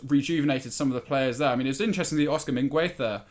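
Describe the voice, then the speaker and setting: Man, medium pitch, conversational football podcast panelist, halting hedged delivery. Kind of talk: football discussion, casual analysis.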